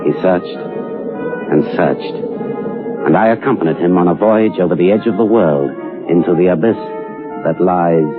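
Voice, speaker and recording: A grave seafaring narrator, played by a man speaks in a low voice in the manner of old radio drama. The recording is narrow and tinny, with music playing clearly behind. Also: moderate pace, long pauses, close to an everyday voice. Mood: grave, wistful. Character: grave male narrator recalling a sea voyage.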